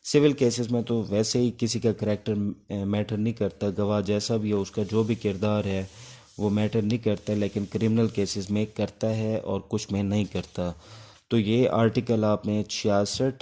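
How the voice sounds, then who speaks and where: Male Pakistani law teacher, low and soft-edged dark tone, explanatory, slightly halting delivery for an informal lecture. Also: steady tone. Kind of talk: informal exam-prep lecture in Urdu mixed with English legal terms.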